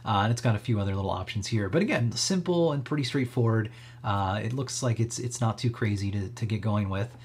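A man giving a casual, upbeat software walkthrough as a tech stream host, talking in an easygoing, approving way. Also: on the low side, fairly quick, a little hiss or hum.

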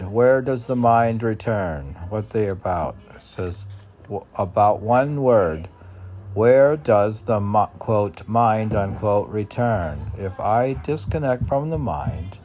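A man reads his poems in a low, mellow voice with a creaky edge. He takes his time, repeating lines and musing to himself in muttered asides. There is faint noise in the background. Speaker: poet reading own work. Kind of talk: poetry reading with muttered asides.

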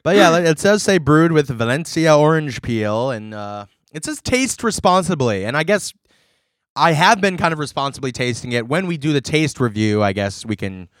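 A man's voice: on the high side, big pitch swings, normal speed, fairly bright tone, dry recording, clean studio sound. A man co-hosting a casual beer review podcast, talking in a laid-back, rambling way with casual swearing. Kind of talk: casual banter.

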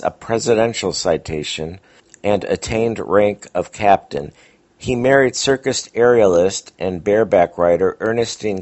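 A male host reads scripted narration about vintage radio shows. His voice sits on the low side. The recording is dull, with the top end missing. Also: darkish tone. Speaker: podcast host introducing old radio episodes. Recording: dull, lo-fi sound.

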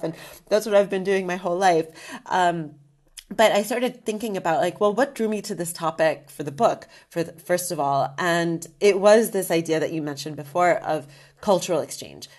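Low-voiced woman talking earnestly in an interview, lots of "and, uh" restarts. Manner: earnest, full of restarts and fillers. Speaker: guest, magazine editor. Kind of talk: casual interview talk.

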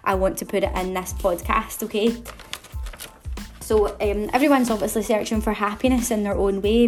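A young woman with a Glasgow accent hosts a solo podcast episode, talking in a bubbly, upbeat and chatty way. Her voice sits in a middle pitch for a woman, and faint music plays underneath. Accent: Glaswegian. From Scotland. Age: 20s. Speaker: podcast host.

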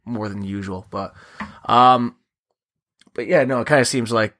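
A man co-hosts a podcast with a voice gone hoarse and gravelly. He talks casually and haltingly, leaning on "like" as he searches for words. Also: mid-range voice, a bit bright. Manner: halting, says "like" a lot. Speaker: podcast co-host. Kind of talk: casual chat.

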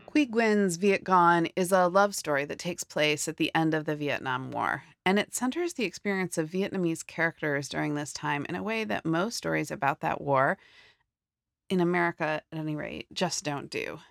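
A woman hosting a theater program, giving a scripted introduction in a clear, measured delivery. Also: sits low, animated, dry recording, clean studio sound. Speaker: theater program host and interviewer. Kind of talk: scripted narration and interview questions.

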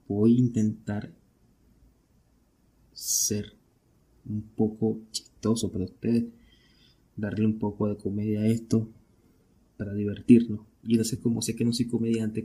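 A man's voice: lowish voice, gravelly, mellow, breathy edge. A Venezuelan man hosting a casual solo podcast, talking conversationally and often posing questions that he then answers himself. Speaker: podcast host.

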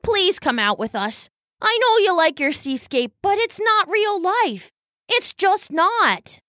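A woman's voice: extremely high pitch, sing-song, slow, sharp tone, no echo, studio quality, voice pushed up, heavily acted. A woman voicing a small young weasel brother in a children's story, earnest, teasing and coaxing. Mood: pleading.

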